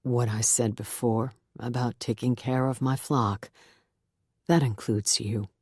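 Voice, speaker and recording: A gentle, fatherly church pastor, put on by a woman reading the book, speaks in a lowered, unhurried voice. His manner is soothing and patient. The sound is dry and close, with no room around it. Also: fairly even intonation, slightly rough, no echo, full character voice. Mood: gentle, reassuring. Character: warm, patient male pastor, middle-aged.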